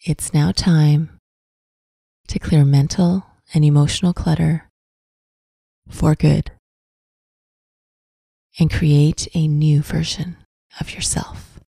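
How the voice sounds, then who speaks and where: A woman narrating a scripted guided visualization in a soft, breathy, soothing voice, with deliberate phrasing and long pauses. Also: sits low, little pitch movement, no echo. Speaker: guided meditation host.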